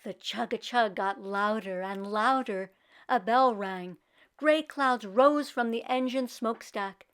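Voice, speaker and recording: Slow-paced woman storyteller for children. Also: a little high, fairly bright tone. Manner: teacherly, clearly paced. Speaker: children's read-aloud storyteller. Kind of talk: expressive storybook narration with questions to young listeners.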